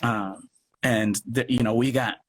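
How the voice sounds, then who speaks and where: A man's voice: mid-range voice, fairly even intonation, measured pace. Enthusiastic man on a noisy video call. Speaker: guest, theatre company producer. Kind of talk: casual interview chat.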